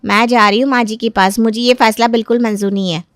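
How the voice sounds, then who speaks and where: A woman's voice: fairly high pitch, steady tone, fast talker, no echo, clean studio sound, natural voice. A woman playing a defiant, crafty young daughter-in-law who argues and complains in a dramatized moral story. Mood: indignant. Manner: argumentative, protesting.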